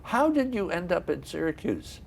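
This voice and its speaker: A man's voice: sits high, big pitch swings, moderate pace, gravelly, a little hiss or hum. A man hosting a studio interview, asking eager, encouraging follow-up questions. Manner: curious, encouraging, asks short follow-ups. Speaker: TV interview host.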